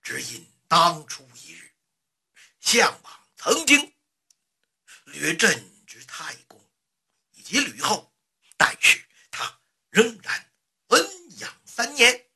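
A storyteller playing a grandiose, emotional king in a traditional tale, raspy, with archaic, stately and drawn-out lines. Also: medium pitch, big pitch swings, sharp tone, heavily acted. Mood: solemn grief. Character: grandiose grieving king, adult man, thunderous then mournful.

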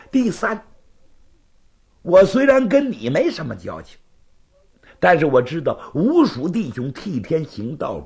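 A man's voice: on the high side, vocal fry, full character voice. A male storyteller voicing a courteous, composed knight-errant who reasons patiently and firmly with his challenger. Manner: measured, conciliatory, gently reasoning. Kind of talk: Chinese storytelling performance.

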